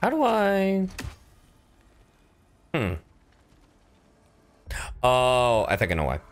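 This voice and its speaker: A man's voice: mid-range voice, wildly swooping pitch, drawn-out words. A man doing casual, profane livestream gaming commentary, exclaiming and muttering to himself. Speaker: streamer, video game player. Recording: game sound behind the voice. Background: video game ambience.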